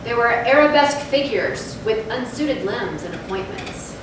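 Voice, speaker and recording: A woman's voice: on the high side, animated, moderate pace. A woman giving a dramatic stage reading of a gothic tale. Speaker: readers theatre performer. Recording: echoey hall from far off, noisy.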